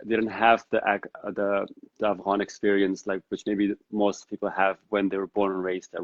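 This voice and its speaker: Flat-voiced man, thinking aloud. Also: lowish voice, dry recording. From Netherlands. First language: Dari. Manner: reflective and earnest, thinking aloud. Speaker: podcast guest. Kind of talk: casual interview conversation. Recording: dull lo-fi sound.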